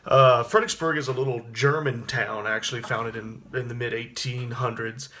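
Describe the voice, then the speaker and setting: A man in his thirties from Texas, with a Texas accent and a baritone voice, talking casually to camera and rambling with many restarts. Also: fairly even intonation, normal speed, bright, forceful tone. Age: 30s. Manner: rambling, with frequent restarts and fillers. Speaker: actor and singer. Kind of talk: casual spoken reflection to camera.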